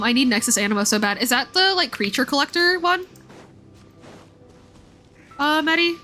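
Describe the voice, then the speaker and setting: A woman livestreaming a video game, talking casually and explaining things in a thoughtful, halting way. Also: sits high, pushed and bright. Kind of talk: casual game stream commentary and read-aloud. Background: music.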